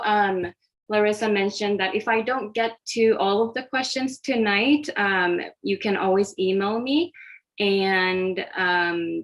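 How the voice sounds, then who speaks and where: A woman from Japan, an oncology dietitian, giving a friendly, interactive online presentation over a video call, with many fillers and restarts. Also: medium pitch. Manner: friendly, hesitant with frequent fillers.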